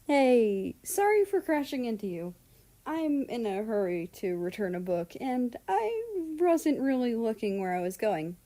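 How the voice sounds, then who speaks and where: An eager, friendly schoolboy acted out by a woman reading a story, pitched up and chatty. He sounds casual and energetic, with an exclamatory delivery. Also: measured pace. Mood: sheepish, eager.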